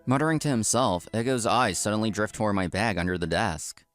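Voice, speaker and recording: A man's voice: fairly low pitch, brisk, soft background music, lightly acted. A man playing an earnest, polite office worker who narrates his own story in a comic dub. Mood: narrating. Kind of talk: scripted first-person narration, comic dub.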